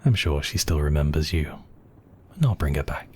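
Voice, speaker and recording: A man voicing a brooding, possessive yet tender vampire count in a hushed, intimate, whispery murmur for romantic ASMR roleplay. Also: very deep voice, expressive, moderate pace, a little hiss or hum. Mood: soothing, affectionate.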